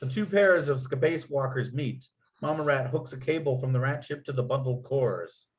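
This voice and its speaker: A male narrator with a medium-pitched voice reads out screenplay action lines. He delivers scene headings and descriptions as part of a script table read. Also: moderate pace. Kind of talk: reading screenplay stage directions at a table read.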